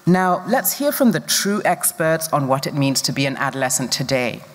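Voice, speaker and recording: Conference presenter, formal and measured, middle pitch, long hall reverb. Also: big pitch swings, a bit bright. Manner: measured, deliberate, scripted delivery.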